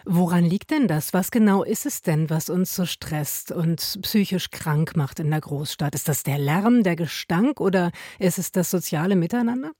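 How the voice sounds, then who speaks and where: A woman from Berlin hosts a morning radio talk, her voice low and mellow. She asks her questions in a lively, conversational way. The studio sound is dry. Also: moderate pace, few pauses, husky, no room sound. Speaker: radio host.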